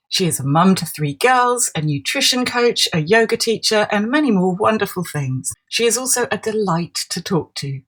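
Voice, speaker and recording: A woman's voice: medium pitch, slightly rough. Welcoming female podcast host. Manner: gentle, welcoming questions. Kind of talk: podcast interview.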